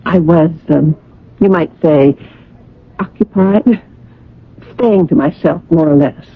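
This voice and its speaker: An actress playing a coy, hopeful woman in a radio drama, confiding with hesitant, arch pauses. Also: low voice, breathy edge, exaggerated voice. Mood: coy, evasive. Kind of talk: 1980 radio drama acting. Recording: dull, hissy vintage radio recording.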